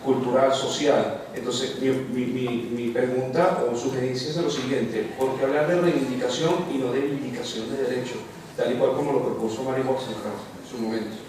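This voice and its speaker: A man's voice: mid-range voice, steady tone, moderate pace, background noise. A man from Venezuela asks a formal question from the floor, often repeating words as he searches for his phrasing. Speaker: audience member asking a question. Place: university lecture hall. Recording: distant, very echoey lecture hall.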